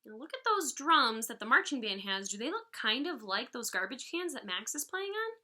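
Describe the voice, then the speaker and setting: Bright, high-voiced woman reading to children. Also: moderate pace. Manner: expressive, instructive. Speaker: music teacher. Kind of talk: children's picture book read-aloud with commentary. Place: living room at home, indoors. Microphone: device mic about arm's length away.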